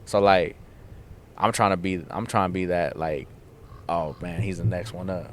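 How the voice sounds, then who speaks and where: A young man from the San Fernando Valley talks loosely and casually, a lower voice peppered with filler slang. He's a guest musician sitting down for an outdoor interview chat. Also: normal speed. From San Fernando Valley, California. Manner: laid-back slangy talk, lots of "like" and "dog". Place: outdoors in front of a theater.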